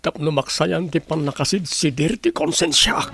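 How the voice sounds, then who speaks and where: An actor playing a remorseful prisoner begging forgiveness in a radio drama, with a gravelly, husky voice. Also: male voice, on the high side, normal speed, bright, forceful tone, faint music behind. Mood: remorseful, pleading.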